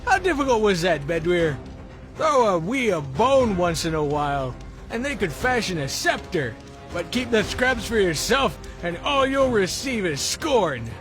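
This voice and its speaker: A man's voice: sits high, very animated, a little slow, over music. An actor voicing a small, cheeky, wisecracking dragon henchman in a cartoon, brash and eager to joke. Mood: cheeky, boastful.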